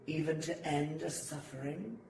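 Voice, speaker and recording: A man's voice: fairly high pitch, moderate pace, gravelly. A man playing a remorseful, centuries-old servant in a gothic audio drama, speaking in a brooding, formal, theatrical way. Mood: bitter remorse. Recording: roomy, distant, noisy amateur recording.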